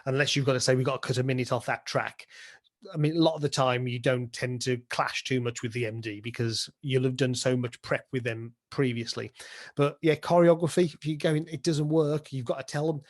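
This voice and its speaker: A man's voice: medium pitch, steady tone, brisk. Chatty British man answering interview questions. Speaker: pantomime dame and director being interviewed.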